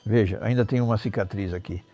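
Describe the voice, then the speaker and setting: Man, 70s, interview guest recounting his past. Low-pitched, mellow, colloquial and blunt. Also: fairly quick, dull audio, soft background music. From Normandy, France. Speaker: interview guest, former armed robber. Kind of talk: conversational life-story recounting.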